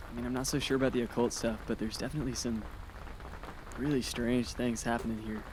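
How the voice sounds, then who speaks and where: A man playing an easygoing, level-headed high school boy in a radio drama. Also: flat delivery, quick pace, close to an everyday voice. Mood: thoughtful. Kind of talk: scripted radio drama acting. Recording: clear rain and outdoor noise behind.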